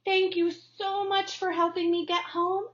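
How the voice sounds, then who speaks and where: A woman's voice: very high voice, flat delivery, measured pace, pitched above the performer's own voice. A woman voicing an earnest, polite young female bee in a children's storybook reading. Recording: dull lo-fi recording.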